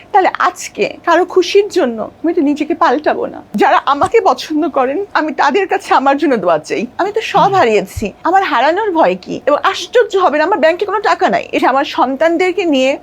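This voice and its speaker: High-pitched Bangladeshi woman speaking emphatically. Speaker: interview guest, doctor. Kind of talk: personal life-story interview.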